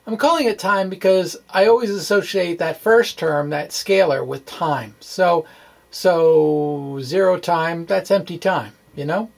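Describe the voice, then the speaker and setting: A man giving an informal explanatory lecture in a chatty, folksy way, with wry asides and a halting delivery. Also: high voice, vocal fry. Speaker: physics blogger presenting a lesson. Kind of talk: informal explanatory lecture, dramatic blog reading.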